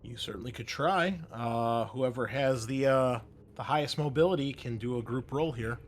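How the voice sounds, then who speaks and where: A man running a tabletop roleplaying game as game master, narrating scenes haltingly with pauses. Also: medium pitch.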